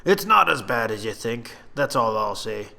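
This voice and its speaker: A man's voice: medium pitch, very animated, moderate pace, creaky, sharp tone, exaggerated voice. A man voicing a seasoned fantasy army captain who speaks firmly and plainly. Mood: easygoing. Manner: firm, commanding, plain-spoken. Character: steady, seasoned male captain of fantasy soldiers.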